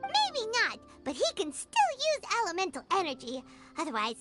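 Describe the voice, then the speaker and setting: A squeaky excited fairy companion. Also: female voice, heavily acted. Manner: excited, bouncy, high-pitched. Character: small hyper female fairy companion. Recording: game audio with loud background music.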